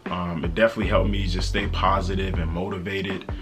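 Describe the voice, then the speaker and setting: A man's voice: deep voice, level tone, fairly quick, music in the background. An American man talking casually and earnestly to viewers as a vlogger, in a slangy, conversational, motivational way. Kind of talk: casual motivational book talk to camera.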